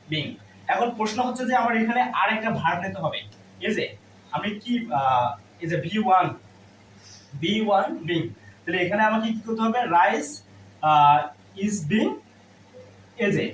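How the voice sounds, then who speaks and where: A man teaching a grammar lesson step by step, asking questions and answering them himself. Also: high voice. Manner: instructive, asks and answers own questions. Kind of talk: classroom lesson, explaining step by step. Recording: roomy, distant mic, faint background noise.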